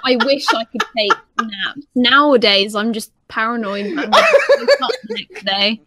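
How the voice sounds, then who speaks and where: A woman's voice: on the high side, sing-song, moderate pace, background noise. A young woman chatting casually as a podcast co-host, playful and self-deprecating. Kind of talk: casual chat.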